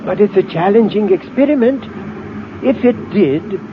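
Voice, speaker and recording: Professor: cautious scientist, highly animated pitch, pondering delivery, tinny noisy vintage radio serial. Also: male voice. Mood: cautious, intrigued. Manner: deliberate, pondering, expository. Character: earnest, cautious male space scientist, a professor. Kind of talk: 1950s radio drama acting. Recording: very thin, noisy old radio recording.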